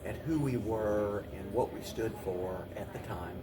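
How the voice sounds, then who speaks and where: A man speaking as an interview guest and city mayor, giving halting, measured answers and often repeating phrases. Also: lowish voice. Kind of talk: talk show interview answers. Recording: distant mic, clearly noisy room.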